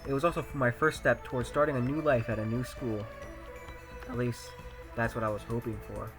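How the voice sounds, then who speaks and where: A man's voice: medium pitch, steady tone, fairly quick, close to an everyday voice. Man playing an earnest teenage schoolboy, music behind. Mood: determined. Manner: self-questioning, musing aloud. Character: anxious, earnest teenage high-school boy narrating his thoughts. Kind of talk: first-person visual novel narration, fan dub.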